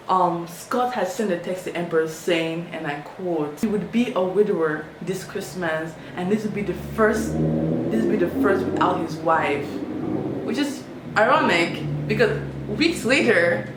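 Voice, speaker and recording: Chatty woman in an echoey room. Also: fairly low pitch. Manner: chatty, animated, quoting people in raised voice. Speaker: podcast host. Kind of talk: casual true-crime storytelling. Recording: echoey room from far off with faint noise.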